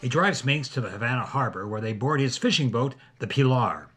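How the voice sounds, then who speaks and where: A man's voice: medium pitch, animated, fairly quick, fairly bright tone, breathy edge, soft background music. A man hosting and narrating a scripted drama podcast in a theatrical, playful, tongue-in-cheek way.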